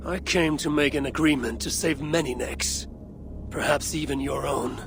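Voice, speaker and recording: A stoic Norse raider in a game, a fairly high male voice. The delivery is terse, guarded and measured, with loud music behind it. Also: gravelly. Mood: calm, firm. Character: stoic, blunt Viking raider from the Raven clan. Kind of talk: video game cutscene drama.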